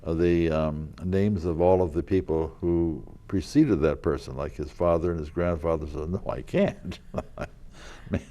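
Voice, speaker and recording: An older man, a local historical reenactor, telling rambling stories in a casual interview, with a loud voice and many fillers and restarts. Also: deep voice, unhurried. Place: community TV studio.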